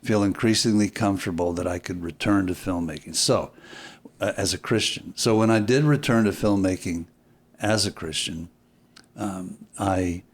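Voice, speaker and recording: A man with a lowish voice tells stories as a guest in an interview. He is reflective and deliberate. He halts, restarts and repeats key phrases for emphasis. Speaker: podcast guest, filmmaker.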